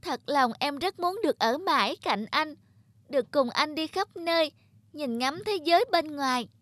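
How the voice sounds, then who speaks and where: A woman's voice: sits high, expressive, normal speed, fairly bright tone, no echo. A woman voicing a vain, scheming young female slipper in a cartoon, sweetly pleading and coaxing. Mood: plaintive, sweet. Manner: plaintive, coaxing. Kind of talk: animated moral tale dialogue.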